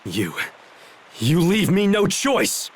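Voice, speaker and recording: An actor playing a haughty, scheming noble officer in a video game, with a smug, mannered menace. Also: male voice, high voice, very animated, slow, a little hiss or hum, full character voice. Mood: threatening. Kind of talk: dramatic video game voice acting. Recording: music behind the voice.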